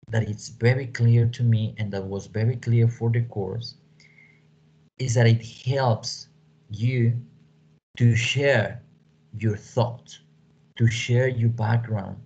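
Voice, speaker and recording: A man with a Mexican accent, a native Spanish speaker, explaining to an online class as a lecturer in a halting way that repeats words and phrases. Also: mid-range voice, fairly even intonation, warm tone, a little hiss or hum. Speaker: English teaching lecturer. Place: small room at home, indoors. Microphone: computer microphone on video call. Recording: dull lo-fi video call audio.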